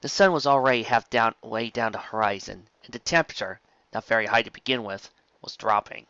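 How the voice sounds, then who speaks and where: Male narrator, bright forceful tone, storybook live read, dry slightly dull recording. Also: fairly low pitch, fairly quick, no echo. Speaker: narrator and reader of fan fiction.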